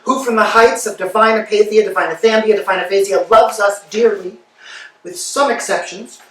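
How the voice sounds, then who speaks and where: A man's voice: sits high, steady tone, moderate pace, a bit bright, a little hiss or hum. A man playing a ragged old male servant, pouring out a breathless, tumbling monologue in a staged play reading. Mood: frantic rambling. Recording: echoey room, distant mic.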